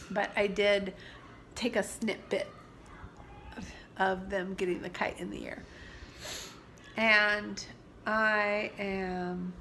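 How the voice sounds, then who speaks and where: A woman in her forties, stuffed up from allergies, talking softly and confidingly in a personal vlog, sometimes dropping to near-whispers. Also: medium pitch, gravelly, slight background noise. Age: 40s. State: congested from allergies. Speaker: vlogger. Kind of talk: reflective personal vlog talk. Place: sitting indoors at home.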